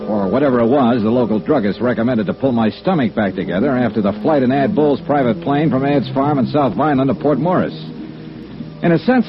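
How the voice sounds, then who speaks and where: An actor playing a hard-boiled, wry insurance investigator in 1950s radio noir, with a clipped, dry manner. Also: male voice, medium pitch, close to an everyday voice. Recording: dull vintage radio recording, loud music.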